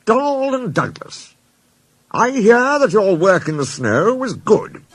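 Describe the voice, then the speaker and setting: A man's voice: sits high, sing-song, dry recording, voice pushed up, exaggerated voice. A man playing a stern portly railway boss. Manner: measured, dry, authoritative.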